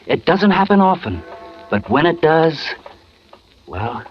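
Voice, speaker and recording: An actor playing an agitated, defensive gambling husband with slangy, colloquial speech in a 1940s radio thriller. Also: male voice, a little high, very animated, slow pace, soft background music. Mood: excited. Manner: slangy, colloquial, pleading and snapping. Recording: muffled, noisy old radio recording.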